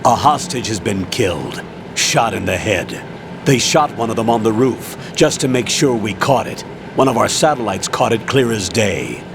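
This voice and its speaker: An actor playing a stern, businesslike military colonel giving orders in a dramatic video game. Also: male voice, on the low side, animated, moderate pace, creaky, noisy background. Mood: grim. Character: stern male colonel briefing his agent, businesslike. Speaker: commanding officer.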